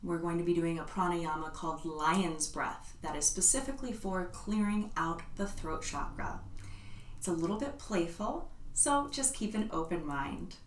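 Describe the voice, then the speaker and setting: A woman leading a yoga class, giving calm, soothing step-by-step cues. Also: on the low side, moderate pace, a little hiss or hum. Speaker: yoga instructor. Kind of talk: guided yoga class instruction. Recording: roomy echo, voice from a distance.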